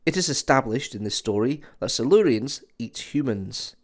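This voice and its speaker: A man's voice: mid-range voice, lively intonation, moderate pace. A man hosting a review, talking in a chatty, emphatic and enthusiastic conversational way. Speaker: host reviewer. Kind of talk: enthusiastic audio drama review.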